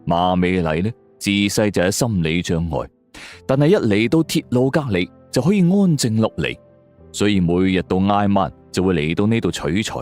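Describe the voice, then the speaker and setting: A man's voice: lowish voice, normal speed, darkish tone. A man narrates a scripted crime and ghost story in a suspenseful, theatrical way. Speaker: audio drama narrator. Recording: music bed under the voice. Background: background music.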